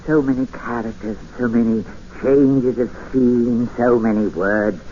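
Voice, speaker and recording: An actor playing a gentle, eerie older recluse obsessed with books, hesitant and politely insistent, in a 1950s radio drama. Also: male voice, mid-range voice, steady tone, unhurried, lightly acted. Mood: obsessive, fond. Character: gentle, eerie jungle recluse obsessed with Dickens, quietly menacing. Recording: muffled, hissy 1950s radio recording.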